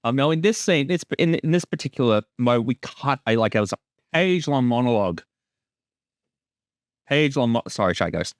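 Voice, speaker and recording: A man's voice: medium pitch, no echo, studio quality. A man giving a casual, jokey creator's commentary with informal language and some swearing.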